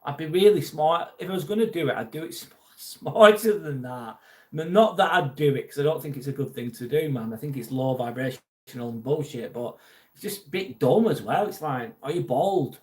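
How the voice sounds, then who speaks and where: A man's voice: on the high side, expressive, fairly quick. An English man hosting a live stream, chatting casually, reading out viewer comments and joking about a troll. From England. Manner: chatty and jokey, mildly irritated by a troll.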